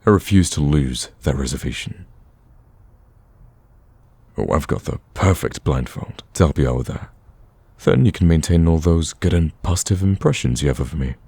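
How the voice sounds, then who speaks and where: A man's voice: a bass voice, expressive, moderate pace, dark tone, slightly rough, heavily acted. A man playing a smitten villain on a date in a romantic ASMR roleplay, speaking casually and eagerly and getting easily flustered. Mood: flustered, teasing. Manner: casual, conversational, stammers when flustered.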